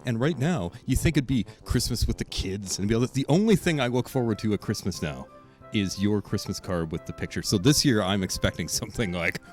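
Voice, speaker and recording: A man's voice: on the low side, lively intonation, a little fast, darkish tone, soft background music. A man in casual, joking chat on a panel show, exaggerating for comic effect.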